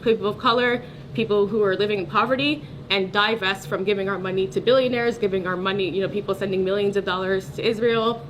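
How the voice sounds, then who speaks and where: A woman's voice: medium pitch, fairly even intonation, fast talker, rough recording. A woman speaking as a political candidate in a casual, earnest, emphatic interview style. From New York City. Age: 30s or older. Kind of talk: casual conversational advocacy. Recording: faint background ambient noise.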